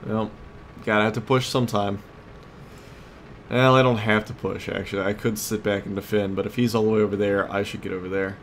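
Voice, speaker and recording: A man's voice: fairly low pitch, little pitch movement, fairly quick. A man giving casual let's play commentary on a video game, thinking aloud in short tactical remarks. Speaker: gamer, host of a let's play series. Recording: clear background game noise. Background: vehicle and battle sounds of a tank game.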